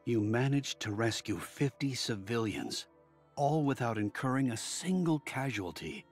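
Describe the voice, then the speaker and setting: A man's voice: medium pitch, expressive, a little slow, husky, faint music behind. An actor voicing an earnest, admiring but cautious man in a video game, talking like an articulate storyteller. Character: earnest, admiring, cautious male fan of war heroes.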